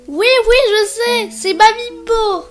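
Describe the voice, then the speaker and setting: A curious young cartoon girl played by a woman speaks in a high voice. Music plays clearly behind her in this animated film dialogue. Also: takes it slowly. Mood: eager.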